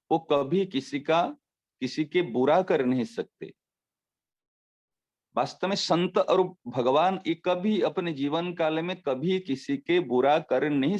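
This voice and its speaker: A man with a somewhat high voice delivers a devotional talk as a spiritual teacher. He unpacks his points through everyday examples, slowing down to repeat the key phrases. The recording sounds dull and lo-fi. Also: a little mellow. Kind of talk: devotional discourse.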